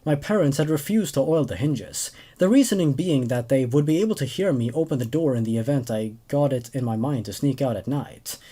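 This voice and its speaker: A young man narrating a story as host, reading expressively and in a measured way with dramatic pauses. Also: mid-range voice, dark tone. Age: young adult. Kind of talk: story narration with casual commentary.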